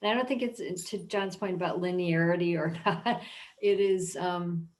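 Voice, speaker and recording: A woman curator speaking reflectively and deliberately in an informal panel discussion. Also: on the low side, steady tone, darkish tone. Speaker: art museum curator.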